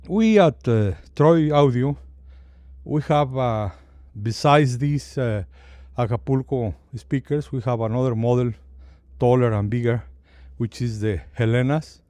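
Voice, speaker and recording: A man with a non-native English accent walks through products without a script. His delivery is slow and halting as he searches for the next word. The voice is mid-pitched and soft-edged, with a mellow, dark colour. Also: no room sound, studio quality. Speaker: company CEO presenting products. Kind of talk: unscripted product presentation.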